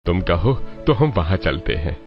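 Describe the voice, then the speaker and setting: Man acting as older Indian father-in-law, deep, calm, conciliatory, cartoon drama, dull recording. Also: normal speed, faint music behind. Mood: calm, advising.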